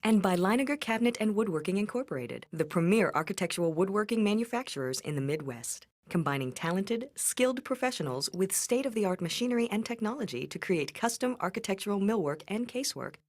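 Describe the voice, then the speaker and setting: A woman's voice: medium pitch, lively intonation, fast, hardly any pauses. A woman reading a scripted sponsor announcement as an announcer.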